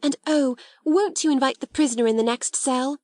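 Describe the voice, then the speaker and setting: Storybook girl, acted by a woman narrator: imaginative, kind, high and breathlessly excitable, close dry sound. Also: moderate pace, dry recording, lightly acted. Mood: excited, pleading. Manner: breathless, exclaiming.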